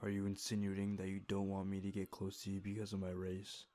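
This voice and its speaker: A man playing a predatory, bullying police officer in a fan dub, speaking deliberately with menacing condescension. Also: low voice, monotone, warm tone, no room sound, close to an everyday voice. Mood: cold, intimidating. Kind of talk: fan-dub dramatic acting.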